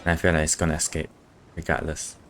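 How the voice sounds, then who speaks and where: A man streams a video game with casual commentary. His voice sits low and his remarks are offhand and doubtful, over background game music. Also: completely flat delivery, a little fast, a bit bright, slight background noise.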